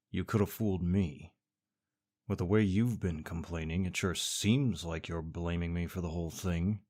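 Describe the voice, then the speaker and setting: A man's voice: deep voice, steady tone, measured pace, long pauses, a little mellow. A young man performing a scripted audio roleplay as a sarcastic, teasing rival co-worker. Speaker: voice actor playing a smug rival co-worker.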